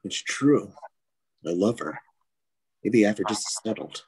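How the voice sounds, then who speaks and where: A man acts a brooding superhero from an animated film script. The voice is deep and raspy, with short, halting, grave phrases. It sounds dry, with no room around it. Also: no echo, exaggerated voice, rough recording. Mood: troubled. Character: brooding, troubled adult male superhero, grim and terse.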